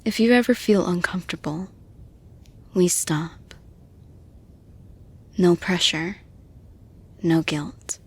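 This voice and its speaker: A composed female boss acted by a woman speaks softly and slowly, straight to the listener. Her voice is breathy and a little low. She sounds measured, supportive and reassuring, as in an intimate roleplay audio. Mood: calm, reassuring.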